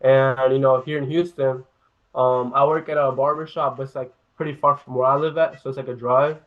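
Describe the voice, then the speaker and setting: A man's voice: mid-range voice, steady tone, a little fast. A young man from New York, laid-back and using casual slang, talking as a guest. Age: young adult. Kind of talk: casual chat. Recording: thin phone-line audio.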